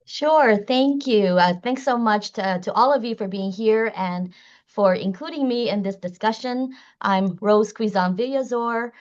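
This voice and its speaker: A woman's voice: on the high side, measured pace. A woman law professor who grew up in the Northern Mariana Islands, introducing herself earnestly with frequent "um" fillers over a video call. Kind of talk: self-introduction on a panel. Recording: dull, lo-fi call audio.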